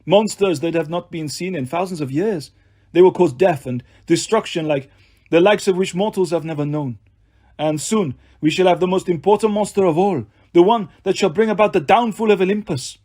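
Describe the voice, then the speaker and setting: A man's voice: high voice, moderate pace, a little mellow. A man voicing a menacing, smug monster villain who talks in a formal, taunting way for a story. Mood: ominous.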